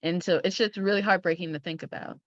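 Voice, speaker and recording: A woman's voice: fairly low pitch, steady tone, fast talker, no room sound, muffled recording. A young woman conducting an interview in a casual, polite conversational style. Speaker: young film critic interviewer.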